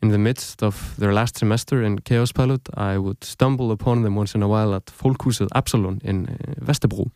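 A young man with an Icelandic accent, a native Icelandic speaker, narrating a scripted podcast as its host in a measured, reflective storytelling way. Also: fairly low pitch, steady tone, warm tone, clean tone, no room sound, clean studio sound. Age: young adult.

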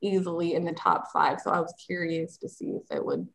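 A woman with a fairly low voice talks casually on a movie podcast. She rambles a little and leans on fillers like "like". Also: big pitch swings, fairly quick, slight background noise, low-quality recording. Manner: chatty, nostalgic, filler-heavy. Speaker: podcast co-host.

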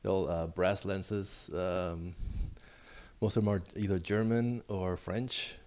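A man from Mexico City, a photographer guest, answering in a relaxed, short way. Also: low-pitched, slow pace. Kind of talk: casual interview.

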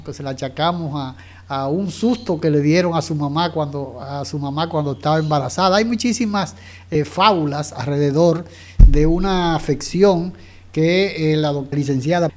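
A male radio host speaks formally and courteously. His voice sits a little on the high side. He introduces his guest in a measured way. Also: a little mellow.

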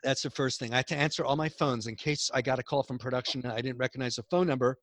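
A man's voice: mid-range voice, very fast, no room sound. A Canadian man, a guest actor, telling stories in an interview and acting out the people he quotes. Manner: animated storyteller who acts out quotes. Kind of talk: storytelling interview. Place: at home in a noisy neighborhood.

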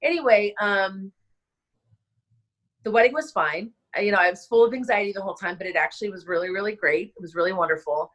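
A woman's voice: medium pitch, fast, fairly bright tone. A woman guest in an interview, a wedding planner, talking in an impassioned, rambling way with lots of fillers, sounding stressed and overwhelmed. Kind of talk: emotional conversational interview.

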